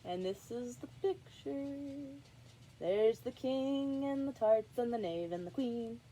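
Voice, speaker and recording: A woman reading a children's story aloud in an expressive narrating style. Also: fairly high pitch, slow, slight background noise, poor audio. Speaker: storybook reader.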